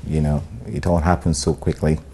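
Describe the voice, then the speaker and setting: A middle-aged Nigerian man, an actor, talking in a sit-down interview, relaxed and hesitant, with many "um"s and "you know"s. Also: a bass voice, moderate pace, slightly rough. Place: bright studio room, indoors. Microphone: lapel.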